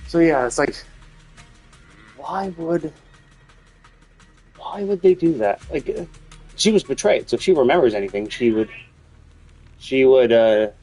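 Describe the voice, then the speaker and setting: A man doing casual live game commentary, speculating and thinking out loud, with some casual swearing. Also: mid-range voice, lively intonation, unhurried, pauses often, faint music behind, low-quality recording. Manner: casually profane. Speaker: game livestreamer.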